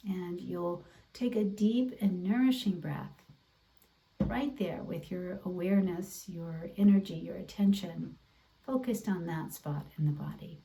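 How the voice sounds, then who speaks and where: A woman instructor leading a guided meditation in a gentle, deliberate, encouraging way over an online video class. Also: fairly low pitch, vocal fry, poor audio. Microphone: away from the mouth, video call.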